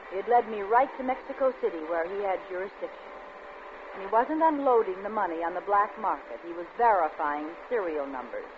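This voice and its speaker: A woman's voice: mid-range voice, highs cut off, close to an everyday voice. Woman acting a scheming woman crook in noisy old radio. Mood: rueful. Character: scheming, vengeful, seductive woman crook narrating her own crime. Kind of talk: 1940s radio acting, suspense narration.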